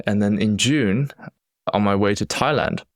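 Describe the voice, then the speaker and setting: A young man with a very low voice and an accent that blends Chinese and British English tells his own story on a solo podcast. He talks in an earnest, reflective way and often restarts his sentences. The recording is dry and close, with no room sound. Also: a little slow, no echo. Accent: Chinese-accented English with British influence. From China. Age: young adult. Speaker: podcast host.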